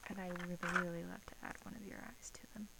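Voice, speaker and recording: A woman playing a playful, menacing vampire captor in a soft-spoken ASMR roleplay, often dropping to a whisper and teasing with sadistic relish. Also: fairly low pitch, fairly even intonation, creaky, heavy background noise. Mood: playful, sinister. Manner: slow, teasing, with drawn-out pauses.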